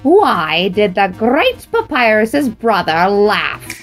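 A woman's voice: medium pitch, huge pitch swings, slow, faint music behind. A woman playing a dramatic, bossy male skeleton with a grandiose, playful streak in a fan audio drama. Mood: gleefully menacing. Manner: theatrical, grandiose.

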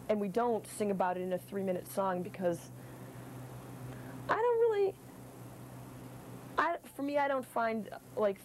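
A woman's voice: mid-range voice, darkish tone, a little hiss or hum, poor audio. A young woman musician in a documentary interview, firm in opinion but hesitant, with many restarts.

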